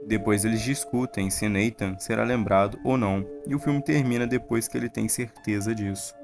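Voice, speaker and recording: A man with a Brazilian accent giving scripted video-essay narration and analysis. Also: mid-range voice. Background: background music.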